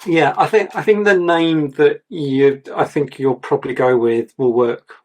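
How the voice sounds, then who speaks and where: A man reviewing an artist's portfolio, talking in a rambling, repetitive way, his voice going a little croaky. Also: fairly high pitch, fairly even intonation, unhurried. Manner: rambling, repeats words, gives advice. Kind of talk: casual mentoring chat.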